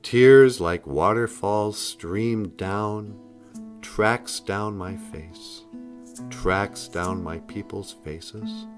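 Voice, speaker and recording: A man reading a picture book aloud in a solemn, dramatic storytelling style with deliberate pauses. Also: on the low side. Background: music.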